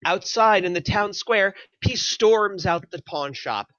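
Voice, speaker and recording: A man's voice: high voice, expressive, slow pace, fairly bright tone, no room sound. A man reading stage directions as scripted narration at a table read, on a muffled microphone.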